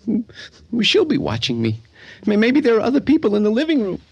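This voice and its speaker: Anguished young son, high-pitched, swooping intonation, bitter, defiant, stammering, radio drama. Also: male voice, wildly swooping pitch, brisk, gravelly, slightly rough, lo-fi sound, exaggerated voice. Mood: sullen, unsure. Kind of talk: old-time radio drama acting.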